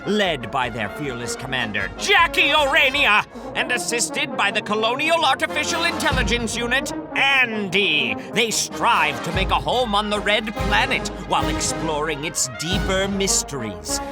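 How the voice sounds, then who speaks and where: A woman announces in a scripted, old-time radio style. Her pitch moves a great deal, and loud music plays behind her throughout. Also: sing-song, measured pace.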